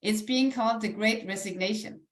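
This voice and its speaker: A woman's voice: mid-range voice, moderate pace. A woman with a Danish accent giving a live online motivational sales presentation in an earnest, encouraging, conversational way. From Denmark. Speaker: online presenter, network marketing ambassador. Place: indoors, echoey room, live stream. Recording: roomy, echoey live online call.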